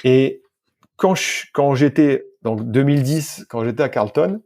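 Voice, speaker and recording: A man's voice: medium pitch, a little slow, gravelly, a little mellow. A man from France recounting his work casually as a podcast guest, in informal, colloquial speech with repeated words and self-corrections. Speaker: podcast guest, sports scientist and physical coach. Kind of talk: casual interview storytelling.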